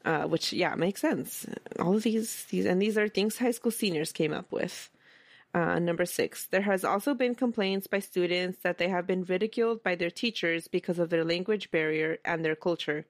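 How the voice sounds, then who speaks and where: Woman podcast host, lowish voice, chatty storytelling, dry close mic. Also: moderate pace, no room sound. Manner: conversational, reads quoted documents aloud, chuckles often. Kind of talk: casual history podcast storytelling.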